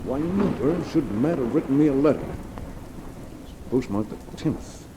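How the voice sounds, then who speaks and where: An actor playing a cold, smug killer with a stolen identity in an old radio drama, smooth and coolly menacing. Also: male voice, medium pitch, expressive, moderate pace, noisy background, close to an everyday voice. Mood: puzzled, brooding. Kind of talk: 1950s radio drama acting. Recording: old radio recording under rain and thunder.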